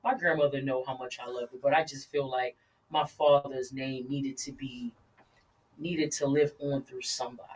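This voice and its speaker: A woman guest telling a personal story in an interview, earnest and halting with frequent restarts. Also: very deep voice, steady tone, recorded from a distance, low-quality recording.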